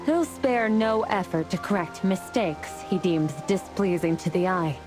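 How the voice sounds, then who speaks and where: A woman's voice: on the low side, a little slow, natural voice. A woman playing a resolute celestial fire warrior in a fantasy game, speaking in a grave, formal, declamatory way. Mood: confident. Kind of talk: scripted fantasy game voice acting. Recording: music and rain behind the voice. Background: music, rain.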